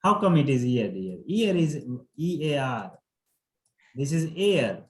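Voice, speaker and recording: A man's voice: on the high side, sing-song, unhurried. A man coaching students for an English test, instructively correcting pronunciation and repeating words for emphasis. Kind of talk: interactive lesson, correcting pronunciation. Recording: echoey room sound.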